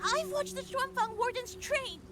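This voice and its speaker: Very high-voiced, headstrong child. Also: female voice, normal speed. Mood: distraught. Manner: defiant, faltering, emotional. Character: headstrong, grieving young child sibling, Yan. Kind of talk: scripted dramatic game dialogue. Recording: loud music behind the voice. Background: loud game music.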